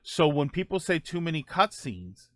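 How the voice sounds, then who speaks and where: A man's voice: fairly high pitch, sing-song, fairly bright tone, no echo, clean studio sound. A man hosting a gaming livestream, talking casually and answering viewer comments, often rephrasing his points as he goes. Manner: halting, circles back and rephrases points.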